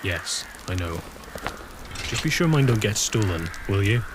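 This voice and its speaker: An actor playing a brusque young army officer. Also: male voice, fairly low pitch, measured pace. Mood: curt, irritated. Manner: brusque, commanding. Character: gruff young male Scottish army officer. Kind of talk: radio drama acting. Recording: reverberant with steady background noise.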